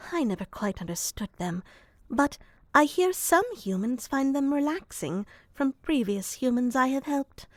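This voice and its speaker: A woman playing an earnest young moth girl who helps people sleep, speaking softly and deadpan, sometimes whispering, close to the mic in an ASMR roleplay. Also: on the high side, dry recording. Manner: soft, slow and deadpan, slips into whispers.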